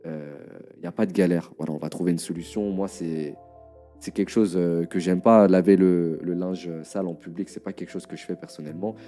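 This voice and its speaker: Man, tired, hesitant, casual explanation, dark mellow tone, lowish pitch. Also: steady tone, brisk, soft background music. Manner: hesitant, many fillers and restarts.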